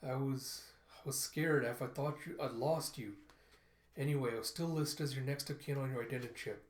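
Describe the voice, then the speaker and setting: A man's voice: mid-range voice, brisk, natural voice. Man acting as a protective, worried man, echoey. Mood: tender, worried. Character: protective, worried man, pleading with an old companion. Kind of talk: dramatic storytelling dialogue.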